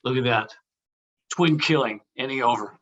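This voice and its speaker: A man's voice: mid-range voice, normal speed, a bit bright, dull audio, natural voice. A man playing a brash, teasing buddy in a comedy table read. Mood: intrigued. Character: brash, teasing male buddy, inappropriate but quick to cover.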